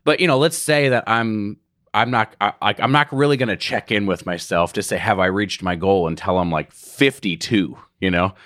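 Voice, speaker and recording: A man in his thirties interviewing a guest in a relaxed, reflective way, often checking in with "right?". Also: on the low side, moderate pace, dry recording, clean studio sound. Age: 30s. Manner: reflective, tags sentences with "right?". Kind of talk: conversational podcast interview.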